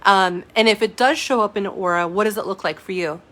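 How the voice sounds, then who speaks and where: A woman's voice: mid-range voice, brisk, a bit bright. Chatty woman teaching a live class. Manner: casual and chatty, many fillers and asides to viewers. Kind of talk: live-stream teaching, reading chat comments.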